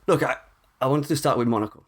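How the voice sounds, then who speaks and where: Podcast host man, mid pitch. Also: quick pace, husky. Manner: reads a scripted intro, then asks questions with some stumbles. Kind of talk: podcast intro and interview.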